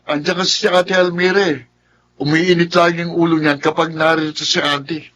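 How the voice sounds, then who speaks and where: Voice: mid-range voice, level tone, brisk, fairly bright tone, a little hiss or hum, lightly acted. A performer playing a whiny, tattling younger sibling in a Filipino family drama, defensive, with a Filipino accent. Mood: complaining. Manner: whining, defensive.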